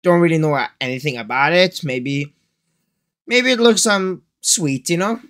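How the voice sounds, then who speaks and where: A man's voice: fairly high pitch, expressive, moderate pace, fairly bright tone. A teenage young man casually chatting as a reaction video host, rambling with hesitant phrasing. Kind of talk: casual trailer reaction chat. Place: small indoor room full of collectibles.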